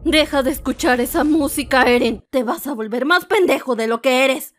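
A nagging cartoon mother, acted by a woman, speaking in a high voice. She scolds in the broad style of a comic anime parody. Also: normal speed, close to an everyday voice. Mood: scolding. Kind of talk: comedic fandub parody acting.

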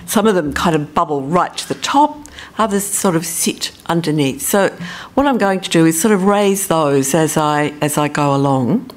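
Low-voiced Australian woman giving a talk. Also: moderate pace. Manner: relaxed, self-deprecating, thinking aloud with fillers. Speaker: conference speaker, professor. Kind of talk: conference presentation.

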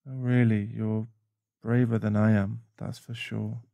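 Man acting a soft gravelly boyfriend, soothing. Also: lowish voice, level tone, dry recording. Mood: tender, regretful. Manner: soft, soothing, halting, sighing. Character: caring, protective, shaken boyfriend comforting a partner. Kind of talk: ASMR boyfriend roleplay, intimate hushed talk. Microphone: close mic.

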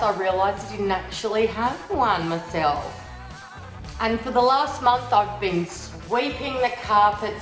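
Aussie girl chatting into her phone in a big echoey kitchen, bubbly, a bit cheeky, faint music under. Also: medium pitch. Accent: Australian. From Australia. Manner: chatty, bubbly, cheeky, breaks into little sung bits. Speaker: vlogger. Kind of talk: casual product review vlog. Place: large modern kitchen and living room indoors. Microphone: phone camera on the bench, moving around the room. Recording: roomy echo with faint music.

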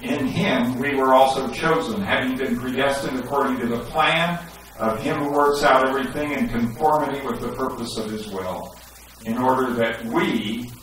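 A middle-aged man gives an animated sermon, reading scripture and pressing his listeners with emphatic questions. He wears a headset mic, yet his voice comes across from a distance. A strongly echoing church sanctuary adds long reflections to every phrase. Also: medium pitch, slight background noise. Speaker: pastor. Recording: echoey sanctuary, recorded from a distance.

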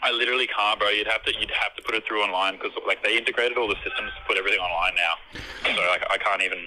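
A man's voice: on the low side, fairly even intonation, very fast, sharp tone, slight background noise, low-quality recording. An Australian man chatting casually on the phone as a worker taking a call, blunt and matey in slangy speech. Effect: telephone. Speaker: fast-food worker answering the phone.

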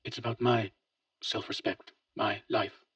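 Brief: male reader as a weary, blunt older father, husky, soft-spoken, distant lo-fi take. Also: on the low side, level tone, unhurried, no echo, pitched above the performer's own voice. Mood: resolute. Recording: dull lo-fi, distant mic.

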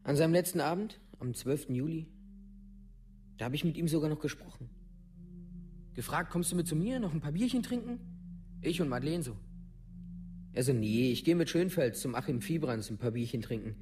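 An actor playing a rough teenage village lad in a radio play, telling stories in colloquial, clipped fragments. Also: male voice, a little high, lively intonation, a little fast, pauses often, lightly acted. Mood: matter-of-fact. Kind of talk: documentary radio play monologue.

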